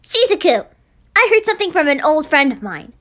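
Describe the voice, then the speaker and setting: A woman's voice: sits high, very animated, fairly quick, pushed and bright, pitched below the performer's own voice, full character voice. A woman voicing a brash, hot-tempered teenage girl in an anime game, blunt and scolding. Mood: demanding. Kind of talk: amateur anime game voice acting.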